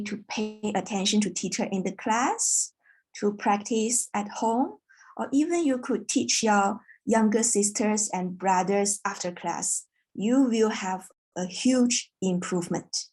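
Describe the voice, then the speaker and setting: A woman from China who is not an English speaker, teaching a children's English class online in a slow, patient, clear way. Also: mid-range voice, a little mellow. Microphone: video call.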